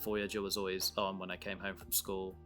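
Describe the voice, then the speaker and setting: Bright-voiced man chatting over music. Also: low-pitched, monotone, a little fast. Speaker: podcast creator and host. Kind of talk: casual reflective chat.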